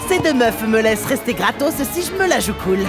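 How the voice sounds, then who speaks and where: A woman's voice: a little high, sing-song, brisk, over music. A woman voicing a flirty, cocky, sleazy male demon in a cartoon fandub. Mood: cocky, amused. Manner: sassy, teasing.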